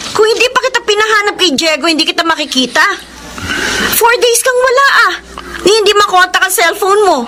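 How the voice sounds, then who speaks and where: An actress playing a fed-up young fiancée in a Filipino radio drama, accusing and indignant. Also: female voice, very high-pitched, expressive, brisk, background noise. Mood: angry scolding.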